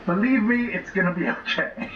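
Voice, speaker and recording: A man's voice: sits high, a little slow, lo-fi sound. A man improvising in character as a player in a tabletop role-playing game. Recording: roomy distant mics with noisy background audio.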